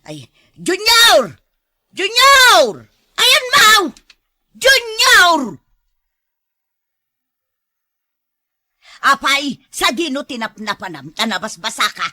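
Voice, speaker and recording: A woman's voice: high voice, huge pitch swings, slow, bright, forceful tone, no echo, studio quality, full character voice. A woman with an Ilocano Filipino accent playing a pregnant mother and caring aunt in a conversational audio drama. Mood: calling out, scolding.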